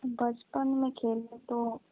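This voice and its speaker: A woman's voice: on the high side, level tone, takes it slowly. Woman playing young female cousin, phone-line sound, coy, teasing, bashful, colloquial casual chat. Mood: coy reminiscing. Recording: thin narrow-band phone line.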